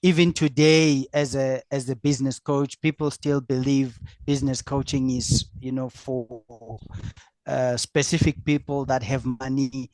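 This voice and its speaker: A middle-aged man from South Africa with a South African accent whose first language is Afrikaans, answering as a business coach on an online panel, halting with fillers and restarts. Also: mid-range voice, little pitch movement, a little mellow, dry recording. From South Africa, Free State. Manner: halting, many fillers and restarts, laughs easily.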